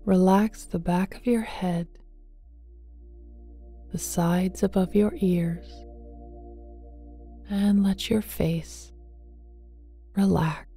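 A woman leading a guided meditation in a gentle, hushed voice that often turns breathy and near a whisper, speaking tenderly to the listener. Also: mid-range voice, steady tone, very slow, long pauses. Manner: tender, affectionate address to listener. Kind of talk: guided meditation, slow and soothing. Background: soft meditation music with singing bowls.

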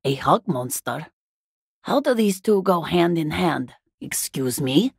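A middle-aged working class wife in a video game, weary and guarded. Her voice is husky and rather low, with pitch that swings widely as she gets defensive. The recording is dry and close. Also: expressive, slow pace, dry recording, exaggerated voice. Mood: weary, insistent. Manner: defensive, exasperated, plain-spoken. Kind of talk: video game character dialogue.

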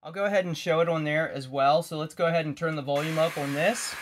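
A man's voice: a little high, fairly even intonation, fast. A man giving a casual, conversational hands-on tech tutorial, explaining as he goes. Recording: clearly noisy home-video recording.

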